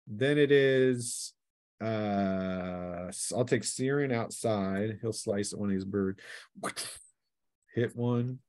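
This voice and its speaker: A man's voice: on the low side, a little mellow, no room sound, studio quality. A man acting as game master, casually narrating a tabletop game in a playful way, with spoken sound effects.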